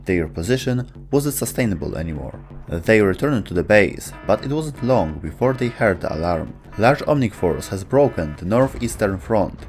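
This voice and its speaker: A man's voice: lowish voice, lively intonation, moderate pace, a little mellow. A man narrating a scripted video essay about game lore. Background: music.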